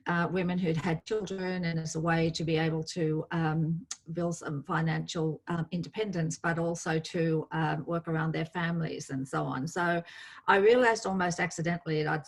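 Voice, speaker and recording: Hesitant, modest woman from Australia. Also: lowish voice. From outback New South Wales, Australia. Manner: modest, hesitant, many fillers. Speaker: guest. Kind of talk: personal story on a panel call.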